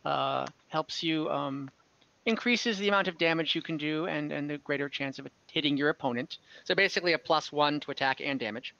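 A game master with a bright, forward voice at a middling pitch explains rules and items in an online tabletop session. The delivery is halting and careful. The sound is dull, lo-fi call audio. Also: creaky, dry recording. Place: video call from home.

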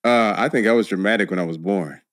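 Guest actor, thirties, low-ish voice, animated storyteller, dry studio sound. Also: male voice, fast, no room sound. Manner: animated storyteller, laughs often. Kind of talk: casual interview chat. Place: podcast studio. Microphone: studio mic on boom arm, headphones.

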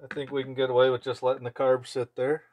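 A man's voice: medium pitch, monotone, fast, darkish tone. Man talking through workshop job over noise. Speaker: chainsaw mechanic and video host. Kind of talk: casual hands-on workshop demonstration. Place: indoor workshop bench. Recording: clear background noise, clicking tool handling.

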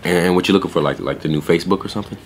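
Low-voiced, quick-talking young clerk. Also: male voice, slight background noise. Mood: casual. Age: young adult. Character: laid-back young male pet store clerk. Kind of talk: audio theater acting.

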